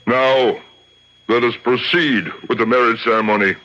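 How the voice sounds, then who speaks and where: An actor playing a solemn, commanding rabbi in a radio drama, declaiming in a measured, theatrical way. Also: male voice, medium pitch, steady tone, very noisy, lightly acted. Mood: stern, judicial. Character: solemn, commanding rabbi judge conducting a tribunal and exorcism. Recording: dull lo-fi old radio recording.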